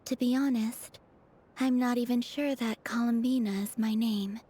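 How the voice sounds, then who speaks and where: A woman voicing a gentle, enigmatic, pensive young woman in a video game, with soft and wistful delivery. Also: a little high, steady tone, normal speed, breathy edge. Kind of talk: dramatic video game dialogue. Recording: faint game music behind.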